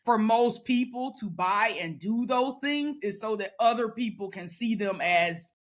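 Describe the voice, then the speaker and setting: A woman talking casually as a guest, loud and emphatic with a sassy edge. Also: on the high side, expressive, unhurried. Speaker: podcast guest. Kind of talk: casual conversation.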